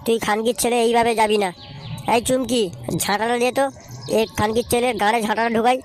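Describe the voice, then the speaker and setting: A woman's voice: a little high, level tone, quick pace, music in the background, lightly acted. A woman voicing a fierce, foul-mouthed, scolding mother in a comic cartoon. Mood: furious. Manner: scolding, crude, threatening.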